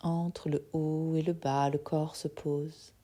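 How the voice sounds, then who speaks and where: A soft-spoken woman guiding a yoga nidra relaxation in a soothing manner, leaving long pauses between phrases. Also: low voice, flat delivery. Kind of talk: guided meditation.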